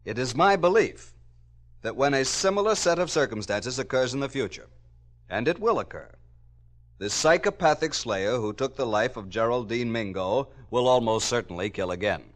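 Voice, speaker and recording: An actor playing a grave, authoritative doctor lecturing a class with deliberate emphasis in an old-time radio drama. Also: male voice, medium pitch, lively intonation, close to an everyday voice. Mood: authoritative, ominous.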